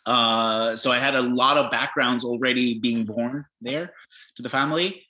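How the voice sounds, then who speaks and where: A man from Peru, a podcast guest, talking about his life in a reflective, hesitant way with many pauses and restarts. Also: medium pitch, pushed and bright, roomy sound. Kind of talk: casual conversation.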